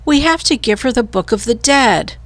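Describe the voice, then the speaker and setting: A woman's voice: on the high side, lively intonation, normal speed, dry recording, pitched above the performer's own voice. A woman voicing a curious, earnest young boy explorer in a children's storybook, speaking insistently. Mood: anxious, calling out.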